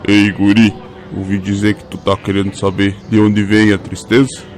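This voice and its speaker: Man as elderly eccentric merchant, Brazilian accent, low pitch, folksy colloquial talk, audio drama. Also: monotone, normal speed, darkish tone, soft background music, natural voice. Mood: cryptic. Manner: rustic colloquial speech. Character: half-mad old travelling junk merchant, blunt and folksy.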